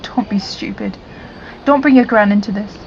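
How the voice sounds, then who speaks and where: A woman's voice: medium pitch, moderate pace, gravelly, a little mellow, muffled recording, a little hiss or hum, close to an everyday voice. A woman playing a bitter, sceptical middle-aged village mother in an audio drama, curt and sarcastic. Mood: disbelieving.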